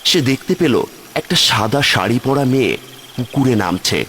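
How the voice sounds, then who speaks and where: A man's voice: mid-range voice, big pitch swings, normal speed, a bit bright, a little hiss or hum. A man giving scripted narration for a cartoon horror story.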